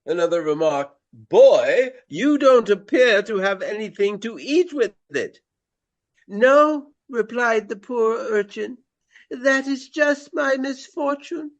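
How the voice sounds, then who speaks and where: A man playing a pompous Regency gentleman and ham tragedian in a radio play, with grandiloquent, melodramatic delivery. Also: very high-pitched, animated, slow, lo-fi sound, full character voice. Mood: self-pleased, amused. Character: pompous Regency gentleman, a hammy amateur tragic actor. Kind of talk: declaimed theatrical radio play acting.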